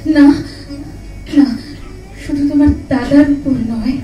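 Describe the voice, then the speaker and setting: An actress playing a sorrowful, tender and haunted young sister-in-law in an audio drama, speaking slowly with lingering emotion. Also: female voice, sits high, fairly even intonation, warm tone, a little hiss or hum. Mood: mournful. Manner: slow, emotive, lingering, repeats phrases. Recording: roomy echo, distant mic, music underneath.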